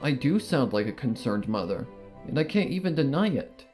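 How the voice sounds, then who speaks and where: A storyteller reading a fan story aloud in a steady, earnest way, while the voice rises and falls expressively through each line. Soft music plays quietly underneath. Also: medium pitch, moderate pace. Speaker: reader. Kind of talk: first-person fanfic narration.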